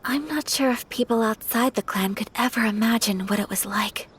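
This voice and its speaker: An actress voicing a graceful young noblewoman in a game, soft and measured, quietly wistful. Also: fairly high pitch, slight background noise, close to an everyday voice. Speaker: noble daughter of a clan. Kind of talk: game voice acting.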